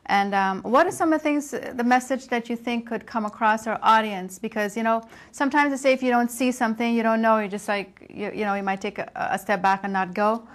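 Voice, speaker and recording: A woman's voice: fairly high pitch, a little fast, hardly any pauses. A woman interviewing a guest in a conversational style in a TV studio.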